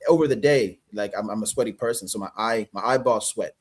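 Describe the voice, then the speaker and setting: A man with a Canadian accent, a guest actor chatting casually on a livestream, relaxed and rambling, laughing often. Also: on the low side, fairly quick.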